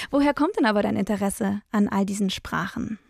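A woman's voice: medium pitch, moderate pace, gravelly, husky, no echo, clean studio sound. A woman hosting a radio programme, introducing music and asking her guest friendly questions. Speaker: radio host. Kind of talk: radio interview and music presentation.

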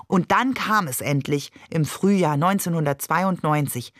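Low-voiced woman narrating, close dry sound. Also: unhurried, dry recording. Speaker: audiobook narrator. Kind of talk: scripted literary narration with acted dialogue.

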